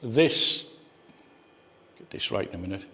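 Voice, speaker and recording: Low-voiced man speaking in an echoey hall. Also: animated, a little slow, pushed and bright. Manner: conversational, reminiscing, puts questions to the audience. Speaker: guest speaker at a radio club. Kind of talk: informal club talk with slides and anecdotes. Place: indoor club meeting room. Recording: echoey hall, club meeting room.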